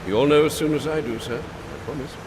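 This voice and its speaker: An actor playing a dutiful, caring older butler in a video game, formal and earnest. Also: male voice, medium pitch, a little fast, very noisy, natural voice. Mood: dry, dutiful. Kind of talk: dramatic video game cutscene acting.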